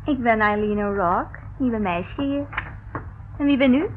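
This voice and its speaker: A woman's voice: a little high, a little slow, close to an everyday voice. An actress playing a naive, flustered young servant girl in a radio play, hesitant and deferential. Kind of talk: radio play acting in Dutch. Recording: muffled, narrow-band, noisy old radio recording.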